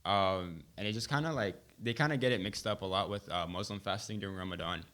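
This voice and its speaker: Young host, very low voice, relaxed, lots of ums. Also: male voice, fast talker. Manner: laid-back, hesitant with fillers. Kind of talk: casual conversation.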